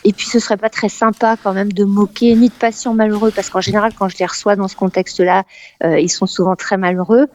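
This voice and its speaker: A woman from Paris, a novelist, talking in a lively, animated way in a conversational interview, with frequent hesitations. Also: mid-range voice, fast. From Paris, France. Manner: animated, exclamatory, many hesitations. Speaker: novelist guest, former dental expert.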